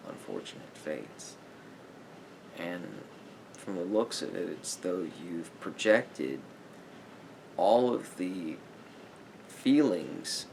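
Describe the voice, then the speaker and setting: A man plays a calm therapist in a conversational ASMR roleplay. He talks very slowly and pensively, with heavy vocal fry and frequent pauses. A noticeable low rumble runs under the recording. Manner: halting, pensive, trailing pauses. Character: calm, sympathetic male therapist talking to a client. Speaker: ASMR performer playing a therapist.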